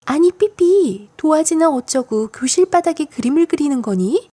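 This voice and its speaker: A woman's voice: high voice, expressive, normal speed, warm tone, dry recording, voice pushed up. A woman voicing a patient but exasperated female schoolteacher in a children's storybook, instructive and coaxing. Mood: exasperated surprise. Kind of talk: children's storybook audiobook acting.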